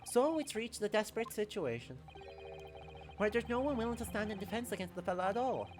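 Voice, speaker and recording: A woman's voice: medium pitch, lively intonation, brisk, darkish tone, a little hiss or hum, close to an everyday voice. A woman voicing a blustering rich male rogue in an attempted Irish accent, theatrical and folksy, for a game. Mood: ominous.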